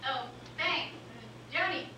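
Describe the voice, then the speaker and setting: A woman's voice: high-pitched, slow pace. A woman playing an eager, friendly novice comedian, bubbly in a naturalistic staged reading. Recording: echoey room, distant, noisy.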